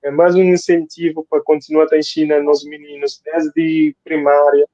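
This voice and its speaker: Male guest author from Cape Verde, high-voiced, repeats himself, dull lo-fi sound. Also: flat delivery, moderate pace, dark tone, no echo. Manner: rambling, repeats phrases for emphasis. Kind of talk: casual interview talk.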